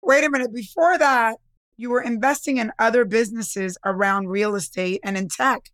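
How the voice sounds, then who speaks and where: A woman hosts the interview and asks curious, encouraging follow-up questions. Her voice is high for a woman, and she interjects to steer the conversation. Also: normal speed, fairly bright tone. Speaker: podcast host.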